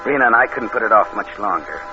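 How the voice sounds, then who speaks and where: An actor playing a cold, scheming killer with a hardboiled, deadpan way of talking in an old radio crime drama. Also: male voice, on the low side, fast talker, over music, lightly acted. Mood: cold, matter-of-fact. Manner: hardboiled, deadpan narration, falsely solicitous with others. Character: cold, scheming killer narrating his crime, posing as nephew. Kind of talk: 1940s radio drama acting. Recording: muffled, noisy old radio recording.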